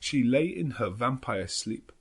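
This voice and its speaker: A man voicing an elderly professor and vampire hunter in an audiobook, solemn and anguished, with slow, halting foreign phrasing. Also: medium pitch, sing-song, slightly rough, exaggerated voice. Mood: solemn dread. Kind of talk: audiobook character narration.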